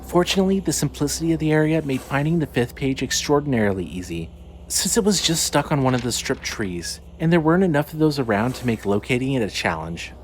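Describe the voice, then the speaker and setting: A man delivers dramatic scripted narration for a fiction podcast. His mid-pitched voice is lively, with wide swings in intonation. A faint bed of ambient noise runs underneath. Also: fast.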